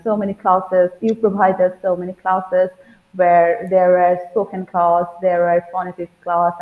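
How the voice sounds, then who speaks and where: A woman with an Indian English accent, a pronunciation student, speaking hesitantly with many fillers over a video call. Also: on the low side. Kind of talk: video-call testimonial interview. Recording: tinny phone-like line, echoey room.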